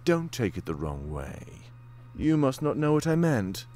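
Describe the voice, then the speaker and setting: An actor playing a menacing male beast interrogator in a fantasy game, smug and deliberately intimidating. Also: mid-range voice, very animated, darkish tone. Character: sinister, menacing anthro beast interrogator, male, smugly threatening.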